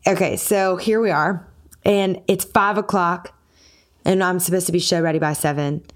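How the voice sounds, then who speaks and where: Animated woman singer telling a story. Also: fairly low pitch, normal speed. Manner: animated storytelling, acts out quoted dialogue. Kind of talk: casual conversation.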